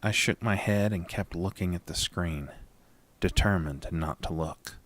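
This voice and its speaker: Male actor horror story narrator, deep low pitch, slow pace, anxious haunted man, deliberate suspenseful delivery. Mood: tense dread. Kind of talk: scripted first-person horror narration.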